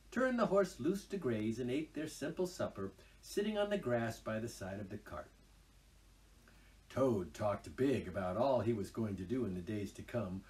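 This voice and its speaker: A man reading a classic children's tale aloud, his voice rising and falling with lively expression. He sounds like a seasoned storyteller. The voice sits a little back from the microphone. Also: medium pitch, recorded from a distance, rough recording. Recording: voice a little off-mic.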